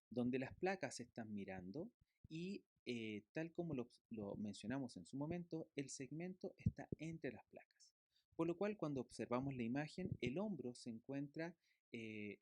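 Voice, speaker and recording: A male lecturer walks through his slides in a voice that rises and falls a great deal. He explains patiently, pausing on fillers and checking in with his listeners. The sound is close and dry, with no room around it. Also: mid-range voice, a little mellow, no echo. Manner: explanatory, with fillers and tag questions. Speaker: physiotherapy lecturer.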